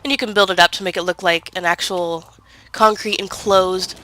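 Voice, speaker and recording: A woman's voice: on the low side, fairly even intonation, moderate pace, sharp tone, no room sound, low-quality recording. A woman with a head cold, sounding congested, chatting casually through gameplay with lots of fillers and self-corrections. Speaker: gaming video creator.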